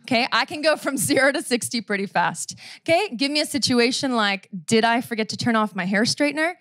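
A woman's voice: on the high side, expressive, fairly bright tone, dry recording. Canadian woman preaching, dry close sound. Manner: conversational and self-deprecating, slows down to read scripture. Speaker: pastor. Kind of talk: sermon. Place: church stage before a seated audience. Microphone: handheld microphone.

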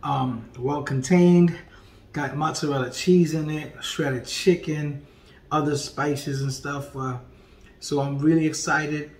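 A man in his forties hosts a casual food review from a dining room at home, joking and animated. His voice sits a little high for a man, and he drops to quiet murmurs while tasting. The room gives his voice a noticeable echo. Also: slow pace. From Puerto Rican heritage. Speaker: food vlogger and comedian host. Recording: echoey room.

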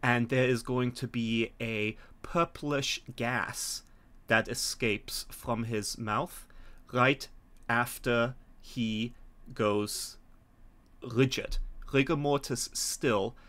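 A man's voice: mid-range voice, steady tone, very slow, fairly bright tone. Middle-aged man narrating a tabletop game. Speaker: game master. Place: small home room, video call. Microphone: video call from a desk.

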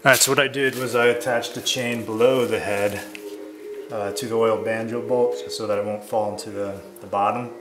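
A man's voice: lowish voice, fairly even intonation, moderate pace. A man talking casually and thinking aloud as he narrates hands-on repair work as an amateur mechanic. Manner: informal, thinking aloud while working. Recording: clear background noise, camera mic.

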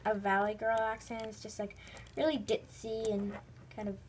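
A woman's voice: mid-range voice, completely flat delivery, normal speed, noisy background. A woman narrating a casual vlog in a rambling, informal way that tends to trail off, recorded indoors on a handheld phone. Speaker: host of a toy video channel. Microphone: phone held near the speaker.

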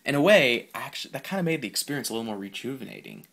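Halting, gravelly-edged male podcast host. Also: medium pitch, sing-song, a bit bright. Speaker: podcast host and film reviewer. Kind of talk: casual solo film review.

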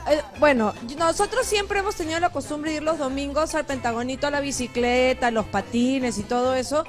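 A woman in her thirties, a TV host on a live outdoor link, telling her story in a lively, chatty way into a handheld mic. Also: sits high, brisk, over music. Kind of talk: casual live TV chat. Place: outdoors on a leafy street.

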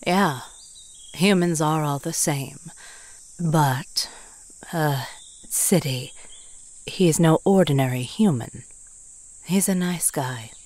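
An aloof, grumbling anime devil acted by a woman speaks in a low register. The delivery is curt, muttered and disdainful, recorded very dry. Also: slow pace, long pauses, a little mellow, no echo. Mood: grudging. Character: aloof, grumbling dark devil creature distrustful of humans. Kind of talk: anime manga dub acting.

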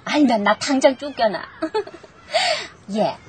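A woman's voice: sits high, huge pitch swings, takes it slowly, heavily acted. An actress playing a materialistic, snobbish middle-aged mother in a TV drama, theatrical and exclamatory, with some background noise. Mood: gleeful, scheming. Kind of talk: television children's drama acting.

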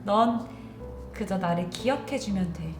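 A woman voicing a kind, comforting cloud in a children's storybook tale, calling out gently. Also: medium pitch, expressive, a little slow, pitched below the performer's own voice, close to an everyday voice. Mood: tender farewell. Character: kind, comforting, self-sacrificing cloud spirit. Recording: roomy echo, music underneath.

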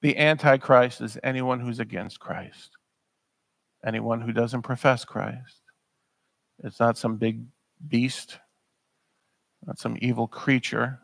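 A man's voice: medium pitch, fairly even intonation, slow, gravelly, long pauses, no echo. A man in his thirties preaching a sermon in a church, earnest and emphatic, often repeating words as he presses a point. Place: church sanctuary, speaking at a pulpit.

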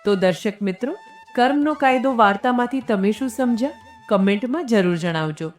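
A woman narrating a scripted moral tale as storyteller and host, in a measured, earnest manner. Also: fairly high pitch, animated. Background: background music.

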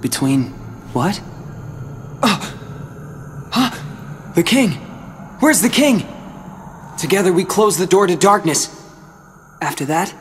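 A man's voice: sits high, animated, slow pace, pauses often, soft background music. An actor playing a guarded, defiant teenage boy hero in a video game, cool and searching. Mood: anxious, searching. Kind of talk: English video game cutscene voice acting.

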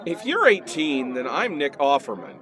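A man's voice: fairly high pitch, very animated, normal speed, bright, forceful tone, some chatter behind. A man playing an exasperated, sarcastic onlooker, snarky and incredulous, in a satirical radio play.

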